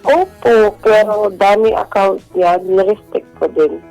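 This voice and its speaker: A Filipino woman speaks as a caller on a radio show. She confides in a casual, polite way with some hesitation. Soft music runs in the background. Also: medium pitch, measured pace, creaky, poor audio. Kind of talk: casual personal confession.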